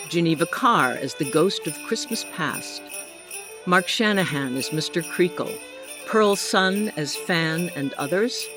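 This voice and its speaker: An announcer giving a scripted radio credits reading in a formal, orderly manner. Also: medium pitch. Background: jingling holiday music.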